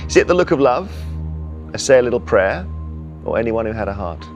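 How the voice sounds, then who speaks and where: A man's voice: mid-range voice, big pitch swings, a little fast, a little mellow, lo-fi sound, soft background music. A man hosting a television quiz show in a studio, reading questions and teasing the contestants in a playful, upbeat way. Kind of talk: scripted game show presenting.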